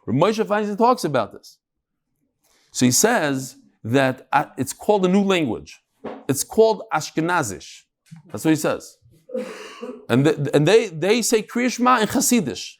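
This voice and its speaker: A man's voice: a little high, sing-song, unhurried. A man giving an informal Talmud class, conversational and emphatic, mixing in Hebrew terms and rhetorical questions.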